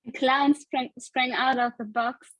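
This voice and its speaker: A woman with a fairly high, very even voice improvises a story, halting and repeating words. The sound is dry. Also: dry recording, low-quality recording. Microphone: video call.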